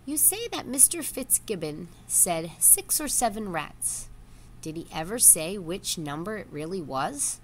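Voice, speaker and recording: A woman's voice: fairly low pitch, very animated, a little hiss or hum. Woman voicing a formal, grave rat leader. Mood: questioning. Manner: formal, measured, questioning.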